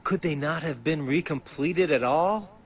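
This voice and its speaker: A man's voice: on the high side, measured pace, slight background noise, lightly acted. An actor playing a brash, impatient young man in a video game, with a slangy, grumbling way of talking. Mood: exasperated.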